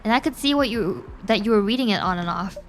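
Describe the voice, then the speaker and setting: A woman's voice: medium pitch, animated, quick pace, noisy background, lightly acted. A woman reading a teasing young café writer's lines aloud in near her own voice.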